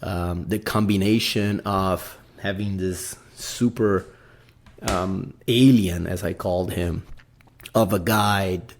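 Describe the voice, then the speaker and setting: Brazilian guy podcasting solo, deep voice, rambly, keeps saying right?. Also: fairly even intonation, slow pace, a little hiss or hum. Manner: rambling, tags many sentences with "right?". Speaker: podcast host. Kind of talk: casual solo podcast talk.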